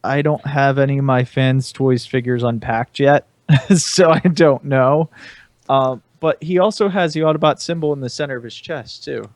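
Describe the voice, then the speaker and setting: A man's voice: medium pitch, normal speed, dry recording, studio quality. A man chatting casually as a panelist on a hobby podcast.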